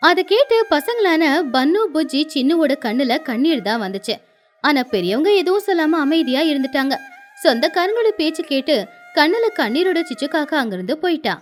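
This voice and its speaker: A woman narrating a scripted children's story as an expressive storyteller. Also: high-pitched.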